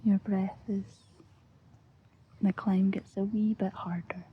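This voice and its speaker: A Scottish woman speaks softly and breathily, often in a whisper, gently and soothingly guiding an ASMR visualisation with long pauses. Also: mid-range voice, level tone. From Scotland. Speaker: ASMR host. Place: outdoors, lying on grass. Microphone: close-up. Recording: muffled lo-fi outdoor recording, faint noise.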